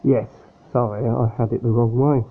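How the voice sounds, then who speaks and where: A man chatting casually while unboxing a model aircraft, speaking softly with lots of fillers and sounding slightly nasal from a cold. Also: medium pitch, flat delivery, normal speed. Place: room at home with a fan running. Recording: tinny phone-like sound with faint fan hum.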